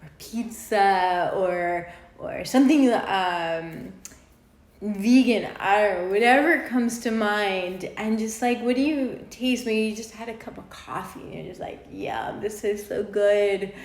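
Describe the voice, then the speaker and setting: A woman leading an unscripted guided meditation in an informal, conversational way, with halting pauses. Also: mid-range voice. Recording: roomy echo on the voice.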